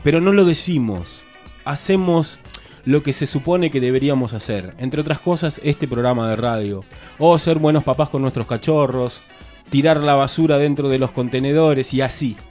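A man's voice: medium pitch, normal speed, a little mellow, soft background music. A man with an Argentine accent hosting a casual radio interview in an informal, jokey, colloquial way. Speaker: radio host.

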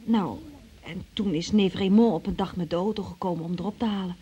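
A woman's voice: on the low side, a little fast, mellow, breathy edge. An actress playing a timid young female shop worker under questioning in a radio drama, hesitant, meek and defensive.

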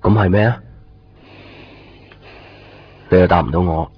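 A man's voice: sits low, racing, husky. A man playing a hesitant, brooding young boyfriend in a Cantonese radio drama, with a Hong Kong accent. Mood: uneasy. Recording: dull 1990s radio recording, music behind.

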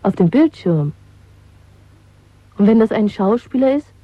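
An actress playing a sensual, defiant, pragmatic young lover in a radio drama, speaking in an intimate, mocking manner. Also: female voice, on the high side, expressive, unhurried. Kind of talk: German radio drama acting. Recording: tinny, roomy radio drama recording.

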